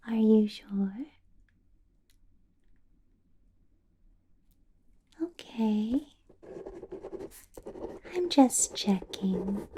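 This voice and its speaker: A woman acts out a female vampire boss in an ASMR roleplay. Her whisper is very dark and velvety, heavily breathy and unhurried. She questions quietly and possessively, coaxing with lingering hums. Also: mid-range voice. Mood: suspicious, hushed. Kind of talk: ASMR roleplay, personal attention.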